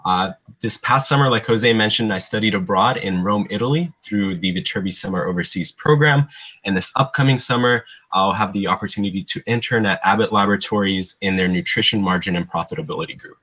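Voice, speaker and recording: A young man introduces himself in a clear, orderly way. His voice is fairly low. The recording is dull and lo-fi. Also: fairly quick, fairly bright tone. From Bulgaria, raised in California. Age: young adult. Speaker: student ambassador. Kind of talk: casual self-introduction. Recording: dull lo-fi sound.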